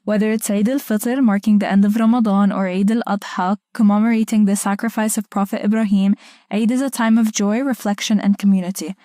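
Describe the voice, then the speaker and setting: A young woman from Egypt hosts a casual podcast conversation. Her voice is mellow and sits at a medium pitch. The recording is dry and close. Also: steady tone, brisk, no echo. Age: young adult. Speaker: podcast host.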